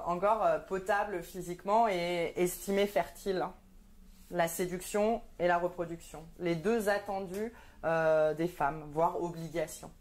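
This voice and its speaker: A woman's voice: lowish voice, a little mellow. A woman in her thirties performing a stand-up comedy monologue, wry and sardonic, with frequent hesitant fillers. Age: 30s.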